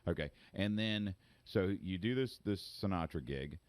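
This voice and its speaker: A man's voice: low-pitched, unhurried, clear, resonant tone, dry recording. A man hosting an interview, eagerly asking questions and often repeating words as he forms them. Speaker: interview host. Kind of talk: casual interview.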